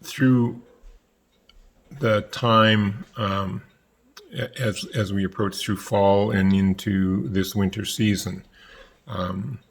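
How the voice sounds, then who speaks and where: A man giving an unscripted personal reflection, hesitant and pausing often as he searches for words. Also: lowish voice, fairly even intonation, gravelly, slightly rough. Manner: halting, hesitant, frequent pauses.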